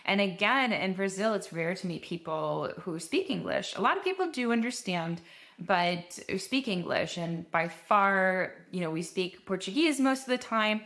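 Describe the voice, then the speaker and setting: A woman's voice: medium pitch, lively intonation, moderate pace, a bit bright. Earnest woman hosting a podcast. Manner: earnest, conversational, with restarts. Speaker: podcast host. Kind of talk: solo podcast introduction.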